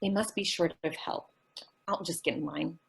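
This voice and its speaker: A woman's voice: deep voice, normal speed, vocal fry, a bit bright, full character voice. A woman playing a naive, sweet, scatterbrained young woman in an old-time radio comedy, with an innocent, hesitant delivery. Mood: dreamy, naive.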